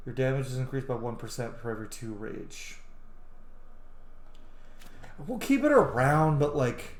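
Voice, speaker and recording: A man's voice: medium pitch, a little mellow, slight background noise. A man giving casual, blunt, sweary commentary as he plays a video game. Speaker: gamer, video host. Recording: roomy sound with game music behind. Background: video game music.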